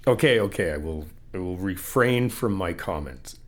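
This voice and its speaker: A man doing an ASMR friend roleplay, talking to the listener in a playful, encouraging way, laughing often and explaining things calmly step by step. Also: low-pitched, normal speed.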